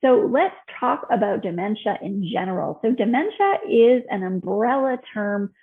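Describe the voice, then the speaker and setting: An American woman in her fifties talks through a slide lecture in a conversational, explanatory manner. Her voice sits at a medium pitch. The sound is thin and narrow, like an online call. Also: animated, moderate pace, gravelly. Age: 50s. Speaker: health educator presenting a class.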